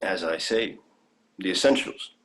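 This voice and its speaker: A man's voice: low-pitched, lively intonation, unhurried, a bit bright. A young man playing an earnest, naive alien traveller in a sci-fi audio drama, polite and full of wonder. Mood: hopeful, sheepish. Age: young adult.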